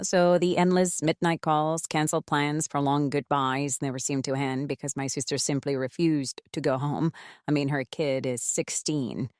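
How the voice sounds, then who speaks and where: A woman's voice: deep voice, close to an everyday voice. A woman playing a weary, foul-mouthed, rambling diner manager in her forties, narrating her interrogation in a horror audio drama. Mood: weary irritation. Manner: weary, sardonic, rambling, profane. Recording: roomy echo, clear background noise, hum.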